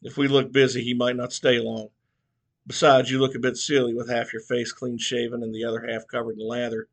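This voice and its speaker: A man's voice: medium pitch, little pitch movement, brisk, close to an everyday voice. A man voicing an old small-town barber, folksy and scolding. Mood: coaxing.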